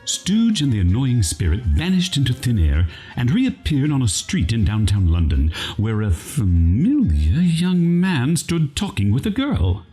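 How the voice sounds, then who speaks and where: A man's voice: mid-range voice, wildly swooping pitch, a little slow, mellow, soft background music. A man playing a storybook narrator, reading scripted narration in a comic radio play. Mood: storytelling. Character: dry storybook narrator of a parody.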